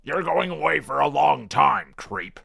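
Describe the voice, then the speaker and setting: A man's voice: on the high side, lively intonation, measured pace, gravelly, pushed and bright, full character voice. A man playing a stern, formal police officer with a commanding official manner in a comic audio drama. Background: music.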